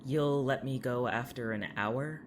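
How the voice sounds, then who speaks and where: A woman's voice: rumbling low, measured pace, slight background noise. A woman acting in an audio drama as a guarded, defiant, weary young woman, curt and sullen.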